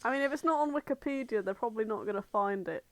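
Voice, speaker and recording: High-voiced British woman, casual podcast chat. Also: fast. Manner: animated storyteller, acts out imagined dialogue. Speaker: podcast co-host.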